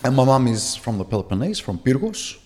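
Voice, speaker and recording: A man from Sydney, a podcast guest talking in a measured, explanatory way. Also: mid-range voice, big pitch swings, a little mellow, long reverb tail. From Sydney, Australia. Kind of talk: casual podcast chat.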